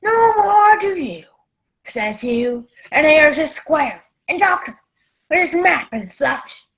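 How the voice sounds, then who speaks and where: A woman playing a sly, commanding male pirate ringleader in a radio play, with folksy, drawn-out seafaring talk. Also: high voice, lively intonation, gravelly, a bit bright, heavily acted.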